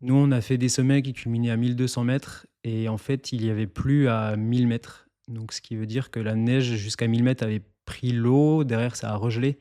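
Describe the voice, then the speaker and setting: A mountain guide from eastern France, answering interview questions in a calm, explanatory way with some hesitations. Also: male voice, medium pitch, normal speed, few pauses. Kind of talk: interview guest.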